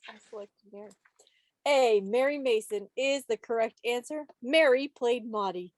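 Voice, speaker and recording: Woman, quiz host, higher pitch, teasing and mock-dramatic, very dry video-call sound. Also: moderate pace, no room sound. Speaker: quiz host at a club meeting. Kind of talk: lively trivia quiz hosting.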